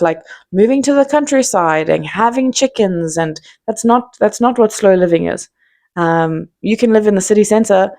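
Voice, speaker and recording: A woman's voice: fairly low pitch, creaky, darkish tone. A woman in her thirties with a South African accent, musing candidly to camera in an unscripted vlog, with lots of ums and restarts. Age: 30s. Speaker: vlogger. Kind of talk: unscripted reflective monologue to camera.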